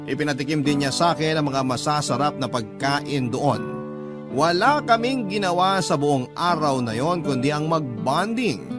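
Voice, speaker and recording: A man's voice: a little high, expressive, normal speed, natural voice. Man as a Filipino letter-writer narrating over music. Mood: reflective. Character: Filipino man narrating his heartfelt love-story letter. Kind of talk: scripted first-person radio storytelling. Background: guitar music.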